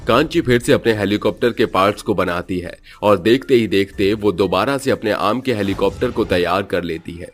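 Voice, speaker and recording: A man narrating a cartoon horror story in a steady, dramatic way. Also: on the low side, moderate pace, hardly any pauses, a little hiss or hum.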